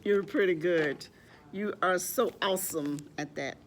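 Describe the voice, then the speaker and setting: A woman's voice: lowish voice, a little slow, a little hiss or hum. A woman hosting a children's storytime, playful and encouraging, speaking simply to little kids and often repeating short phrases.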